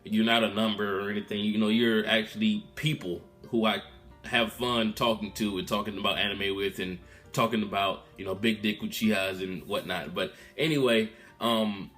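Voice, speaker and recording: A man's voice: fairly low pitch, fairly even intonation, moderate pace, faint music behind. Subdued rambling young man vlogging. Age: 20s. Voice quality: loud voice. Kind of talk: casual update vlog. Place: room at his mom's house.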